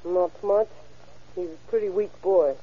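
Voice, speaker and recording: An actress playing a guarded female informant, speaking in a deliberate, insinuating way in an old radio crime drama. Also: fairly low pitch, fairly even intonation, breathy edge. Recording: tinny, narrow-band vintage radio recording.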